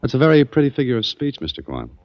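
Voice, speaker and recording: A man's voice: mid-range voice, wildly swooping pitch, fast talker, dry recording. An actor playing a curious, wry male adventurer who also narrates, casual and probing, in 1940s radio style. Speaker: narrator and lead. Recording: dull dry old radio recording.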